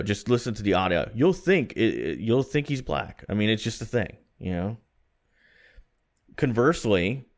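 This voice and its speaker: A man in his thirties from Florida, co-hosting a podcast in a rambling, self-deprecating casual chat. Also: on the low side. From Florida, USA. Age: 30s. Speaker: podcast co-host.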